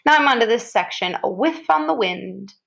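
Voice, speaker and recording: A woman's voice: a little high, lively intonation, moderate pace, vocal fry, a bit bright. A woman reading an informational article aloud expressively, like a teacher, leaning on and drawing out key descriptive words.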